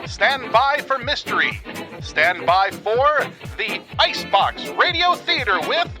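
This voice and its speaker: A man's voice: expressive, slow pace. A man announcing a radio drama in a theatrical, old-time style. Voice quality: theatrical, booming delivery. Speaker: show announcer. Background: music.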